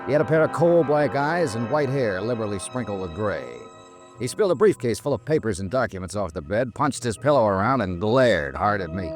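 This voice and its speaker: An actor playing a tough, wry, stubborn insurance investigator in a classic radio detective drama. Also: male voice, on the low side, expressive, fairly quick, music in the background, natural voice. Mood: dry narration. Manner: hard-boiled, wry, insistent.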